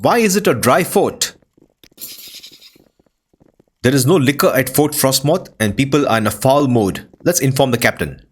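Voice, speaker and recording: A man's voice: mid-range voice, expressive, normal speed, long pauses, husky. A man narrating a fantasy game playthrough in a scripted, storytelling style. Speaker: gaming video narrator. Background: music.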